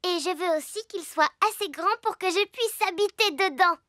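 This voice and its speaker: A performer playing a bright, eager young animal child in a French cartoon dub, earnest and childlike. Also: extremely high pitch, moderate pace, no echo, clean studio sound.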